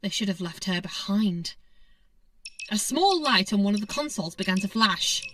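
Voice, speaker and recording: A woman reading an audiobook story as a scripted narrator, in an expressive, dramatic storytelling manner. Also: medium pitch, moderate pace, recorded from a distance, slight background noise. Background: background music.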